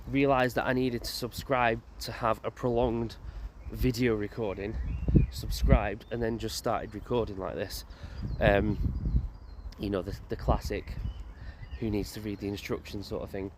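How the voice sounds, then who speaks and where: A man's voice: lowish voice, little pitch movement, a little slow. A man walking through a graveyard as he vlogs, musing aloud in a hesitant way with many fillers and restarts. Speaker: paranormal investigator vlogger. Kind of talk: walking vlog commentary. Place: graveyard outdoors, walking a path. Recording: loud outdoor background noise. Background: outdoor noise and birds, a crow.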